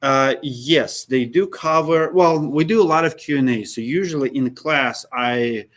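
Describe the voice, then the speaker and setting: A man's voice: mid-range voice, unhurried, fairly bright tone. A man with an accent, hosting and answering viewers' questions in a live session. He talks casually, dropping articles, with many fillers and restarts. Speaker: host. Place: Minneapolis.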